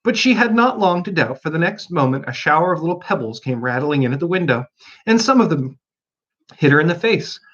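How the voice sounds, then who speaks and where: Expressive, animated man reading a storybook. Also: fairly high pitch, fairly quick, highs cut off. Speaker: audiobook reader.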